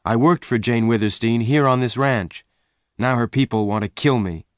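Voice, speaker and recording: A man's voice: mid-range voice, normal speed, no echo, studio quality, voice pushed up, lightly acted. A man voicing a bold, insistent young cowboy who stands his ground in a western story. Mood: defiant. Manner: insistent, defiant.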